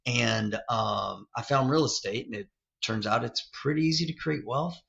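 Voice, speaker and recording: A man's voice: mid-range voice, moderate pace, gravelly, a bit bright. A man of fifty telling his story as an interview guest, loose and candid, often saying "like" and "man". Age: 50s. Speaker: real estate investor guest. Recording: dull lo-fi sound.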